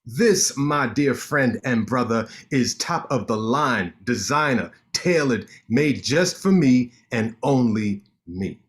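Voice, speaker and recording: A man reads a boastful, sharp-tongued lawyer who needles his old friend without letup. His delivery is quick and bantering, with a mid-range male voice. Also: medium pitch, lively intonation. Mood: teasing. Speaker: actor in a staged reading. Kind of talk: staged play reading.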